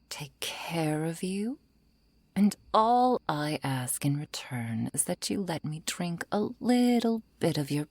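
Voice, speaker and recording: Female vampire for ASMR roleplay, acted by a woman: slow, coaxing, quietly menacing, breathy, close and dry. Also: dry recording, full character voice. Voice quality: low, breathy, creaky. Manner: slow, coaxing, softly threatening, often whispering. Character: seductive, menacing undead female vampire, calm and hungry.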